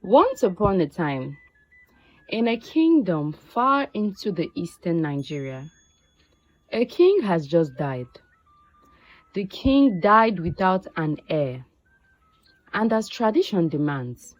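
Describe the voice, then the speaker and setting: A woman narrating a scripted folktale in a measured, deliberate way, phrase by phrase. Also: on the low side, sing-song, low-quality recording. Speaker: storyteller.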